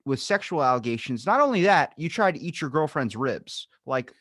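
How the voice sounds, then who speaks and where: A man's voice: fairly high pitch, sing-song, normal speed, a bit bright, dry recording, clean studio sound. A man hosting a podcast, chatting casually and joking, sometimes with mock outrage. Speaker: podcast host. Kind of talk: casual comedic chat.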